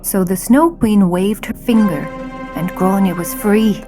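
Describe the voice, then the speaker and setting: A woman's voice: mid-range voice, measured pace, over music. A woman narrating a children's story as a scripted audio drama.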